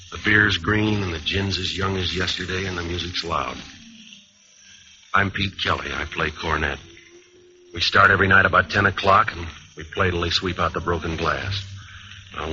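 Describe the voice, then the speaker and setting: Cynical radio noir cornetist, low-pitched, hard sharp tone, clipped, deadpan, hard-boiled. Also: male voice. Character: cynical, world-weary 1920s jazz cornetist narrator, tough man. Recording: dull, lightly hissy old radio recording.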